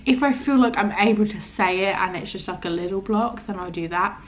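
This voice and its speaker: A young woman vlogger talks casually to camera. She has a stammer and speaks haltingly, often trailing off before she completes a thought. Her pitch sits in an ordinary range for a woman. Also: dull audio, a little hiss or hum.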